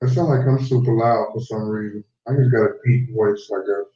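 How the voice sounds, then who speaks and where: Mellow man on a roomy, distant call. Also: fairly low pitch, steady tone, fairly quick, lo-fi sound. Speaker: livestream co-host. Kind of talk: casual chat.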